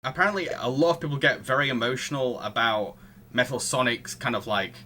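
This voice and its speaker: Bright-voiced man discussing films casually. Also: mid-range voice, brisk, slight background noise. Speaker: podcast host.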